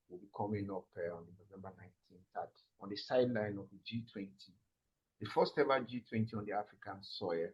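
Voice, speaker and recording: A man from Nigeria answering interview questions in a measured way, with frequent filler sounds. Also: lowish voice, slightly rough, muffled recording. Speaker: guest, summit president.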